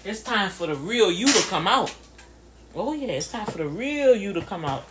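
A woman's voice: mid-range voice, expressive, moderate pace, background noise. A woman in her fifties giving a casual motivational talk, conversational and preachy, speaking straight to her listeners. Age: 50s.

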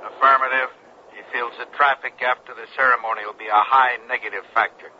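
An actor playing a cold, businesslike conspirator giving clipped orders in a 1970s radio drama. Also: male voice, mid-range voice, steady tone, fast talker, muffled recording, lightly acted. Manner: clipped, commanding. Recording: sharp, band-limited radio sound with noise.

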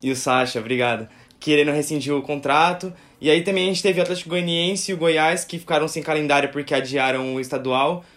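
Brief: man, slightly high voice, rapid and playful co-host on a video call. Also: fairly even intonation, a bit bright. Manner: quick, jokey, playful. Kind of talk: casual video-call interview.

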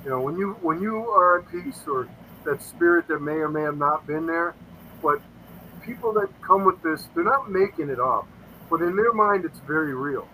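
A man's voice: on the high side, lively intonation, moderate pace, bright, forceful tone, slight background noise, low-quality recording. A man in his fifties telling stories in a casual interview, earnest and rambling, often quoting himself. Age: 50s. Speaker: paranormal investigator guest.

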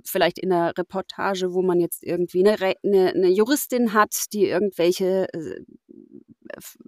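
A woman's voice: on the low side, a little mellow, no echo, clean studio sound. A woman who works as a professional voice-over artist, talking casually and thoughtfully with many fillers and restarts. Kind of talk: casual conversation.

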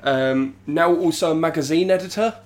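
A man's voice: fairly high pitch, moderate pace, a little mellow. A man hosting a casual podcast while stuffy with a cold, chatty and self-deprecating with lots of ums and restarts. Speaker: podcast host. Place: home studio.

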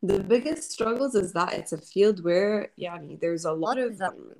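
A woman's voice: medium pitch, moderate pace, gravelly, darkish tone, rough recording. A woman in her late twenties with an Egyptian accent, Arabic her first language, talking casually and thoughtfully in an interview, slipping Arabic words into her English. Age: 20s. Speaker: podcast host and writer.

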